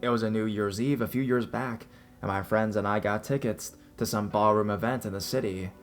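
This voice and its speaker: Male narrator, low pitch, scripted first-person horror storytelling, music playing behind the voice. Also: fairly even intonation, a little fast. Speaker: narrator reading listener-submitted scary stories. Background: background music.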